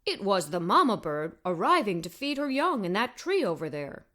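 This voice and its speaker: A woman voicing a friendly female pet dog in a children's storybook, calm and knowing, explaining things gently and matter-of-factly. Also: medium pitch, moderate pace, voice pushed down.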